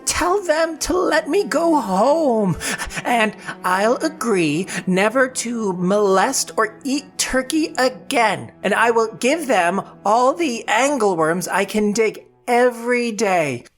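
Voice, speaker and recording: A woman playing an earnest, excitable young farm boy in an audio drama for children. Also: a little high, slow, soft background music. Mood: pleading.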